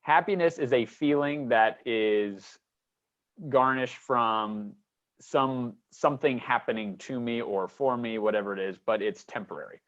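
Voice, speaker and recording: Give me a man hosting a podcast interview who asks long, rambling questions padded with "you know." His voice sits at a middle pitch. The sound is a little dull and lo-fi. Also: a little slow. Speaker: podcast host. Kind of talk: conversational interviewing.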